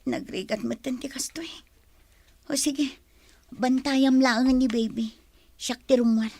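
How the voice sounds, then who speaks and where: Woman acting long-suffering young ilocano mom in a radio drama, high creaky voice, pleading. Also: takes it slowly, long pauses, darkish tone, dry recording, full character voice. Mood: weary, worried. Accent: Filipino, Ilocano.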